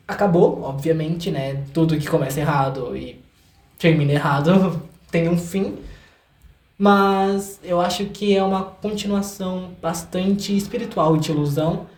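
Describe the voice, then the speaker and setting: Echoey, expressive young Brazilian man. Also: high-pitched. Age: young adult. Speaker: guest, singer and songwriter. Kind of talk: casual interview chat. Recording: roomy, echoey room sound.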